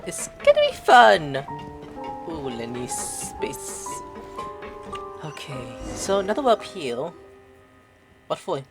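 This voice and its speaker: Lowish woman gamer chatting over gameplay, playful, voice swings around a lot, music behind. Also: wildly swooping pitch, slow pace, long pauses. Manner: playful, chatty asides to the game. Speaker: gaming video host. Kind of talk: gameplay commentary, reading dialogue aloud. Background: game music.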